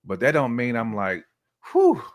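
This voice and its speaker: A man's voice: mid-range voice, wildly swooping pitch, a little slow. A man from the United States talking casually on a discussion show, with informal slang and frequent checks for agreement. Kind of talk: casual chat.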